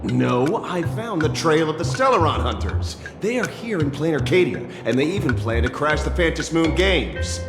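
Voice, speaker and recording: A man's voice: on the high side, big pitch swings, moderate pace, hardly any pauses, reverberant, long reverb tail, over music. An actor playing a sardonic, theatrical, down-on-his-luck detective in a video game. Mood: sly.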